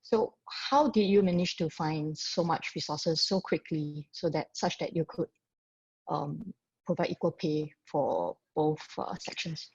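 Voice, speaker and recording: A woman with a Singaporean accent asking a question from the audience in a halting way, repeating words. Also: sits low, vocal fry, darkish tone, husky, no echo. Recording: dull, lo-fi video call audio.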